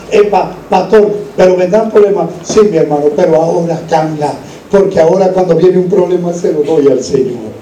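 A man's voice: on the high side, warm tone, slight background noise. A man preaching an evangelical sermon to a congregation, exhorting them with rhetorical questions and repeated phrases. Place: large echoing room, church service. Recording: roomy echo, distant microphone.

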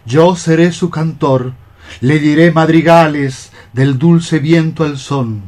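An actor playing a lovelorn, dreamy young cockroach poet, declaiming lyrical verse in a radio play. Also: male voice, a little high, takes it slowly, darkish tone. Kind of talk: radio theatre verse acting.

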